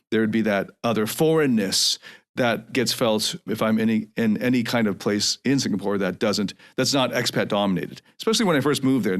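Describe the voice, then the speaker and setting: A man in his forties from North America hosting a podcast alone, speaking in a reflective, earnest way and often restarting as he thinks aloud. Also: lowish voice. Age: 40s. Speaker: podcast host. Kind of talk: solo podcast monologue.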